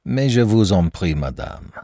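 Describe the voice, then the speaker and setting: A reserved young English gentleman in an audiobook, voiced by its male narrator. He speaks low and slowly, with quiet courtesy. The recording is dry and close. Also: very animated, vocal fry, no room sound, exaggerated voice. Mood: courteous. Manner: courteous, restrained.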